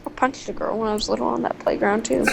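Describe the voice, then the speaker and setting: A woman's voice: on the high side, steady tone, fast talker, vocal fry, a little hiss or hum, poor audio. A young woman chatting casually and telling rambling stories, with a giggly manner. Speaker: video co-host. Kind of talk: casual storytelling chat over a game.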